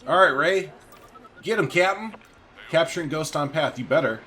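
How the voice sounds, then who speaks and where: A man's voice: fairly high pitch, normal speed, bright, forceful tone. A man doing casual let's-play commentary, chatty and informal, thinking aloud as he plays. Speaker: gaming video host. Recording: faint background noise.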